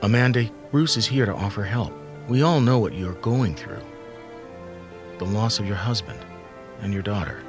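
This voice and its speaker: A calm middle-aged male police chief played by a man in an audio drama. He talks plainly and steadily in a lowish voice while music plays loudly behind. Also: normal speed, lightly acted. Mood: gentle. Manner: calm, matter-of-fact.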